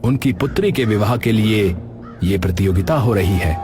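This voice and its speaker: A man's voice: fairly low pitch, normal speed, music in the background, natural voice. A man playing a kind, polite young food seller in a cartoon story, speaking earnestly and reassuringly. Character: kind, polite young rajma-rice seller helping others. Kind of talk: Hindi cartoon dubbing.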